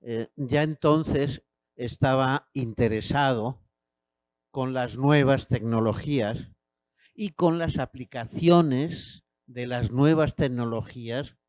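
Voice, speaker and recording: A man in his sixties from Spain, with a Castilian Spanish accent, giving a measured academic lecture into a handheld mic in a university auditorium, with hesitant fillers. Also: medium pitch, darkish tone, no room sound. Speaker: guest lecturer.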